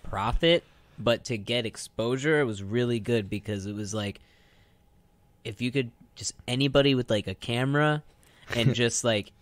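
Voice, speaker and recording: A man's voice: medium pitch, moderate pace, dry recording. Young guy radio cohost just rambling, slangy, tosses in mock quotes, really dry sound. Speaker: radio show co-host. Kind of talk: casual chat.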